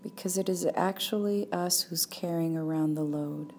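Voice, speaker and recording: A woman leading a guided meditation as a teacher, speaking calmly and without hurry, leaving long pauses. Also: lowish voice, a little hiss or hum. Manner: calm, unhurried, with long pauses.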